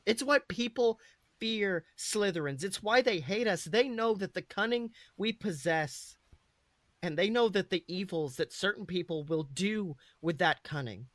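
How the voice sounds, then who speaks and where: A man voicing a stern, secretive witch mother who lectures a clever son in an emphatic, measured way. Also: high-pitched, lively intonation, a bit bright, no echo. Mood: earnest warning.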